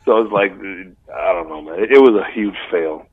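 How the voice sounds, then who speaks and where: Brief: deep-voiced man, relaxed slangy co-host, thin lo-fi line. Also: normal speed, husky, no room sound. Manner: laid-back slangy talk, laughs often. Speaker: podcast co-host. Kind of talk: casual chat. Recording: dry, tinny phone-like sound.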